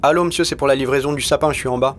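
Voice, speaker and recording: A man's voice: medium pitch, steady tone, racing, a little hiss or hum, lightly acted. A man voicing a polite courier addressing customers in an audiobook, in an everyday manner. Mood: apologetic. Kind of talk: acted dialogue in an audiobook.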